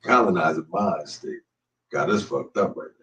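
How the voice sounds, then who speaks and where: A man's voice: deep voice, moderate pace, sharp tone. A man in casual chat, laid-back and agreeing, sometimes preachy. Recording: distant mic with faint noise.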